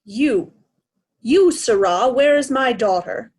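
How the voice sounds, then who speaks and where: A woman's voice: on the high side, expressive, slow pace, darkish tone, husky, heavily acted. A woman playing an imperious, impatient old king in a readers' theatre Shakespeare play. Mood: impatient, demanding. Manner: commanding, peremptory questioning.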